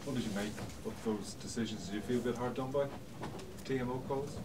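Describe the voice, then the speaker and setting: A man working as a reporter, asking tentative, halting questions at a press conference. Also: medium pitch, distant mic. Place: indoor press conference room. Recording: off-mic in noisy room.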